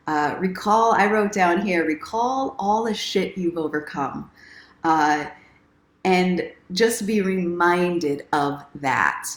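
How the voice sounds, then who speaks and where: A woman hosting a casual livestream, encouraging and speaking straight to her viewers like a self-help coach. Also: on the low side. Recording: roomy, echoing sound.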